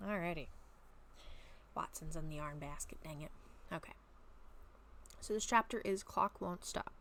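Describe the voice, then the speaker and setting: A woman chatting casually and reading her own story aloud at home, a little raspy from a long talking session, rambling with many soft ums. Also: low voice, measured pace. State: slightly raspy throat. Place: at home, bedroom.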